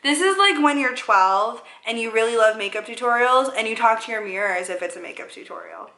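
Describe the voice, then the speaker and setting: A woman's voice: on the high side, expressive, fairly quick, sharp tone. A young woman in her twenties chatting through a makeup tutorial at home, rambling and poking fun at herself as she works. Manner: rambling, self-deprecating, talks while applying makeup. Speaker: vlogger. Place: living room at home, indoors. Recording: echoey room.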